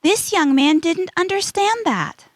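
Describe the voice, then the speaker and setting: A woman playing an earnest young adventurer girl in a children's audio drama, instructive and deliberate. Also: very high voice, lively intonation, no echo, clean studio sound.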